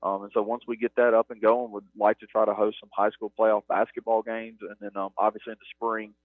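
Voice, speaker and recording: A man being interviewed in a stadium press box about running game day, his voice a touch low. He talks earnestly, circling back with lots of "you know"s. The sound is dry and thin, squeezed down like a phone line. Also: little pitch movement, brisk, no echo. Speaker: stadium game operations director, guest. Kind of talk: halftime radio interview. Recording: narrow phone-like radio broadcast, dry.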